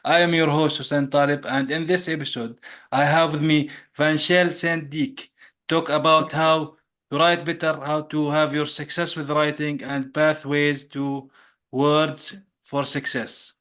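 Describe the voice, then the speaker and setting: A man's voice: fairly high pitch, little pitch movement, unhurried, a bit bright. A man hosting an interview podcast, reading the guest's introduction with small slips and corrections. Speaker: podcast host.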